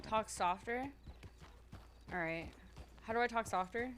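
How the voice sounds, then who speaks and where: A woman streamer talks to her chat in a loud, bright, forceful voice that sits a little high. She thinks out loud, swears freely and sounds nervous. A faint hiss of noise runs under her voice. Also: unhurried. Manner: loud and chatty. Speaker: streamer playing a roleplay character. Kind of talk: casual chat to stream viewers.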